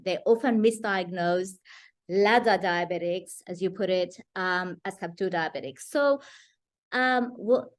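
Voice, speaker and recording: Woman host of an interview, mid pitch, measured, slows down on the important bits. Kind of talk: casual interview.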